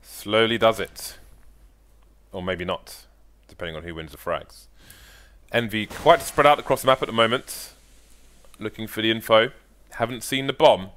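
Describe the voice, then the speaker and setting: A man commentating on a competitive video game match, measured and analytical, with playful banter. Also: lowish voice, a bit bright. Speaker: esports caster. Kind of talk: color commentary.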